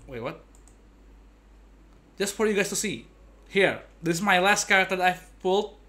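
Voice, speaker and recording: A young adult man giving casual, playful gaming commentary to his viewers like an excitable showman. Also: high voice, moderate pace. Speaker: game streamer. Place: indoor gaming setup at home. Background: game music.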